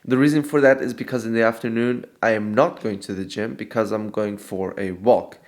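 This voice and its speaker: Young man, casual trading vlog, relaxed self-deprecating delivery, lots of fillers. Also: on the low side, fairly quick. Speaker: trading vlogger. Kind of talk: casual vlog and market commentary.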